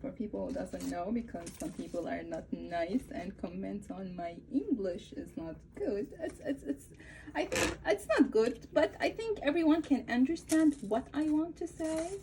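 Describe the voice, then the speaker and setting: A woman in her thirties from Egypt, an Arabic speaker with an Egyptian accent, chatting through a craft tutorial at home and often pausing to search for words. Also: medium pitch, lively intonation, vocal fry, background noise. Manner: hesitant, searching for words with many fillers. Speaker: craft vlogger. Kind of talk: casual tutorial chat.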